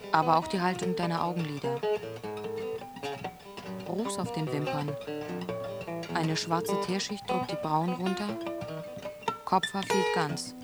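A woman narrator reciting literary prose in an art radio piece, with music behind her. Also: on the low side. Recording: plucked guitar music behind the voice. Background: plucked string instrument, guitar.

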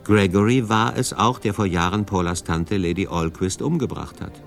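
Radio documentary narrator, man, notably deep voice, scripted read, light music underneath. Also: normal speed.

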